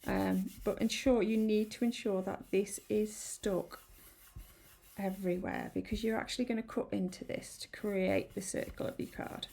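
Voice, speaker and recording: A woman chatting through a card-making tutorial, a little croaky from hay fever, rambling and apologetic with many ums. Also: medium pitch, steady tone, moderate pace, mellow, a little hiss or hum. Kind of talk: casual craft tutorial. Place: home craft room.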